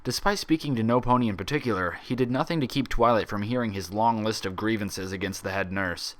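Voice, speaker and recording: A man's voice: fairly low pitch, brisk. A man reading a story aloud as narrator, in a scripted, dramatic style. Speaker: fan fiction reader and narrator.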